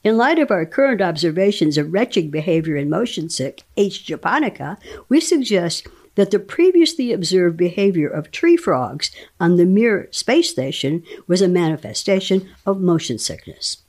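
A woman reading a scientific paper aloud as a guest dramatic reader, enunciating technical terms deliberately. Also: on the low side, big pitch swings, a little mellow.

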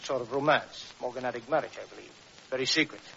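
An actor playing a gruff, dismissive senior policeman in an old radio drama, blustering and hemming. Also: medium pitch, quick pace, sharp tone. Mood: evasive, awkward. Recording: muffled vintage radio, faint hiss.

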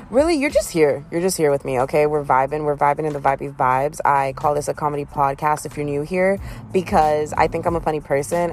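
A woman in her early twenties hosting a casual solo podcast, chatty and self-deprecating, often jumping up in pitch with giggles. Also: gravelly, a little mellow. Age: 20s. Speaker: podcast host. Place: in a car.

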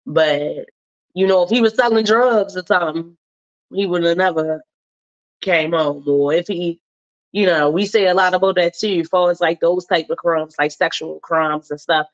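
A woman's voice: on the low side, normal speed, lo-fi sound. A woman hosting a live talk show, chatting in a casual, slangy and emphatic way, a little stuffed up. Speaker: host.

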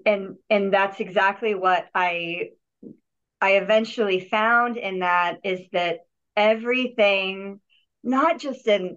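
Earnest woman on dull lo-fi audio. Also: mid-range voice. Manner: earnest, reflective, with frequent restarts and repeated words. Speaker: podcast guest, healer and yogi. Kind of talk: personal story in an interview.

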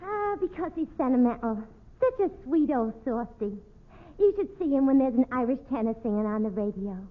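Actress as indignant, daffy New daughter of a tavern owner, high-voiced and quarrelsome, tinny vintage radio comedy sound. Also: female voice, animated, a little fast, close to an everyday voice. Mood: proud, sentimental. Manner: argumentative, scatterbrained, indignant. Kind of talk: 1940s radio comedy acting. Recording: tinny old radio recording.

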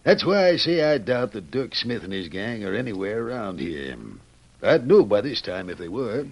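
A man's voice: lowish voice, sing-song, normal speed. A man playing a grizzled, folksy Old West sheriff with an in a radio drama. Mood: folksy, candid. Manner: folksy, plain-spoken. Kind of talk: old-time radio Western acting.

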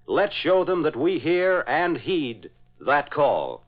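A man's voice: fairly high pitch, sing-song, slow pace, soft background music. A man announcing and narrating in the dramatic, declamatory style of a 1940s radio serial. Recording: dull vintage radio recording.

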